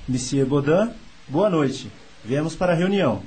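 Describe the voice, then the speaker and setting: An actor playing an excitable young gentleman, a devoted spiritualist, expressive and formal in a radio drama. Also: fairly high pitch, moderate pace. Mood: friendly, polite. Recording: background noise, ambience effects.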